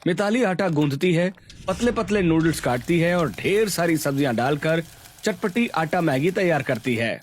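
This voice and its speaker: A man's voice: on the high side, expressive, normal speed. Man narrating a storybook cartoon over music.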